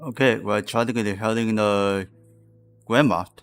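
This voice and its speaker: A man's voice: fairly low pitch, normal speed, soft background music. A man streaming a game live, commenting casually on what happens as he plays.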